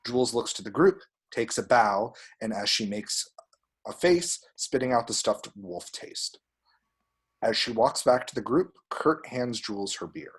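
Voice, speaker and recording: A man reading screen directions aloud as expressive scripted narration. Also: medium pitch, unhurried, husky. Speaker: reader of action descriptions.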